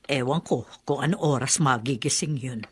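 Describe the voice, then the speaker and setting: A woman's voice: rumbling low, moderate pace, husky, full character voice. An actress playing a protective, fretful older grandmother figure in a Filipino radio melodrama, soothing and cautioning. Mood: evasive, gentle.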